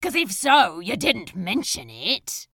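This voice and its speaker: A woman's voice: mid-range voice, wildly swooping pitch, pushed and bright, breathy edge, no echo, full character voice. A woman playing a sardonic, secretly tender male demon in an audio fan fiction drama, drawling and teasing. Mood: sarcastic, goading. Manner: drawling, teasing, drawn-out enunciation.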